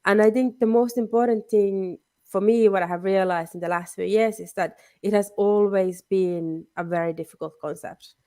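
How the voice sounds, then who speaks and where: A woman's voice: mid-range voice, steady tone, moderate pace, hardly any pauses, dark tone, no room sound. A woman with a cold and a nasal voice, speaking as a panelist over a video call and thinking aloud in a conversational way. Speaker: panelist, legal historian. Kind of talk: panel discussion.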